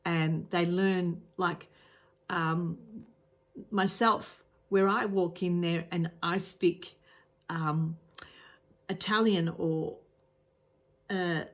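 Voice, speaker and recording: An Australian woman talks to camera in an unhurried, slow way, her voice sitting a little low. She sounds earnest and repeats herself, with plenty of ums and restarts as she explains. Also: fairly even intonation. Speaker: travel vlogger. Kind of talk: casual advice talk to camera.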